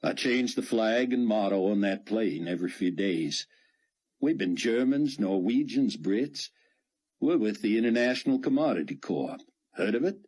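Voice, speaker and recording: A man's voice: fairly low pitch, expressive, normal speed, a little mellow, slightly rough, voice pushed up. A man doing a teasing Southern smuggler. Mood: bantering. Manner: folksy, teasing drawl. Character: brash joking male smuggler pilot.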